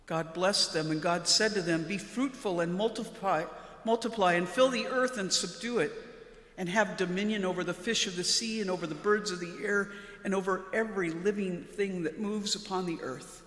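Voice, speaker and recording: A woman's voice: medium pitch, a bit bright. Woman reading scripture in a reverberant church. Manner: solemn, measured. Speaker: Lutheran pastor. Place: large church interior, at the lectern. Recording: echoey church with long reverb.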